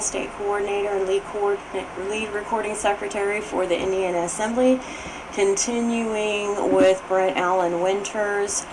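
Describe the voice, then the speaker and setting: A woman reading legal text and commentary aloud in a formal, measured and careful way, occasionally stumbling on a word. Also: medium pitch, background noise. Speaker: reader, state assembly coordinator.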